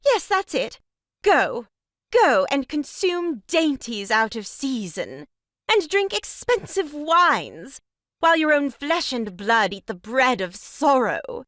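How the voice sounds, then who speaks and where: A woman's voice: sits high, very animated, slow, fairly bright tone, dry recording, studio quality, full character voice. An actress playing a meddling, pompous woman in a stage farce, declaiming with melodramatic grievance. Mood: indignant, melodramatic. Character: meddling, theatrically aggrieved sister-in-law, grandly moralizing woman. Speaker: voice actor. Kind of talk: stage farce audio drama acting.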